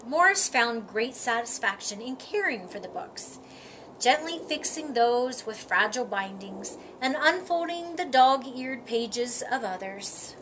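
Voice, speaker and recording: A woman with a high voice narrates a children's storybook. She takes it slowly, shaping phrases with expressive, deliberate care. There is audible noise in the recording. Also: low-quality recording. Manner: deliberate, dramatic phrasing with drawn-out words. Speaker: storyteller reading a children's picture book.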